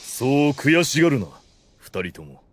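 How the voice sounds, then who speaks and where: A man's voice: medium pitch, sing-song, unhurried, a little hiss or hum. A man playing a dignified, magnanimous undead overlord in an anime audio drama, solemn and commanding. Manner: regal, solemn.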